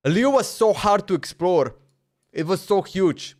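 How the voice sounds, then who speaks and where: A man's voice: sits high, animated, a little slow. A man streaming a game, chatting loosely and laughing, often saying "bro" and "man.". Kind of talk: casual livestream chat while playing.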